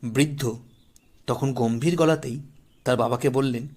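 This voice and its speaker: A man with a husky voice narrating a scripted horror story as the storyteller. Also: medium pitch, a little slow, a little mellow.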